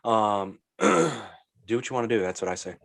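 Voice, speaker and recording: A man's voice: fairly low pitch, expressive, normal speed, vocal fry, a bit bright, no echo, studio quality. A man in his late thirties with a head cold, talking bluntly and offhandedly as a podcast co-host. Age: 30s. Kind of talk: casual chat.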